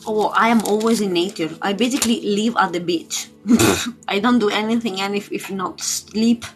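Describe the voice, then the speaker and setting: A woman's voice: mid-range voice, moderate pace, low-quality recording. A woman chatting casually on a spiritual livestream as a tarot reader, in an informal, rambling, giggly manner. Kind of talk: casual live spiritual chat. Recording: music playing behind the voice. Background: music.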